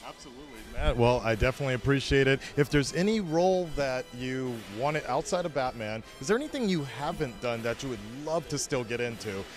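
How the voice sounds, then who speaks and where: A man's voice: mid-range voice, animated, a little fast, few pauses. A man in his thirties hosting an on-camera fan interview into a handheld microphone, with a casual, enthusiastic manner. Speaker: interviewer and channel host. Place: convention press area, indoors. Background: background music.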